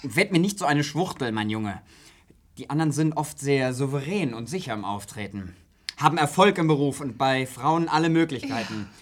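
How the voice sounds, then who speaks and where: An actor playing an awkward middle-aged father in a radio play, stiffly paternal and halting as he tries to stay tolerant. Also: medium pitch, lively intonation, natural voice. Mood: uneasy.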